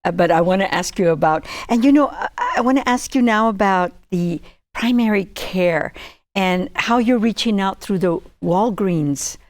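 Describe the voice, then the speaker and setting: A woman's voice: medium pitch, moderate pace. A woman hosting a TV interview show, friendly and a little hesitant with fillers. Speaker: TV show host.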